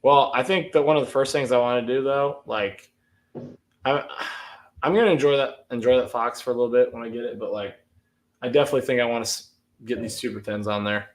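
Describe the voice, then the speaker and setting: A man hosting a casual car livestream, rambling and restarting as he talks in quick bursts. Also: medium pitch. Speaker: livestream host.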